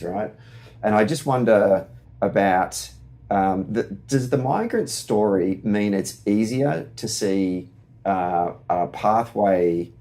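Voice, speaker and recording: A man's voice: fairly low pitch, warm tone. A man from Australia, a community organiser, asking questions in a searching way with many fillers and restarts. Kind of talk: casual discussion.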